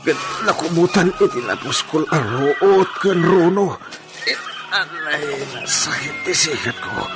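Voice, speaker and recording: An excitable Ilocano man played by a male actor in a radio drama, muttering and moaning to himself in slow, drawn-out speech. His voice sits fairly high, with prominent music behind it. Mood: pained, wailing. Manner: muttering to himself with moans and exclamations.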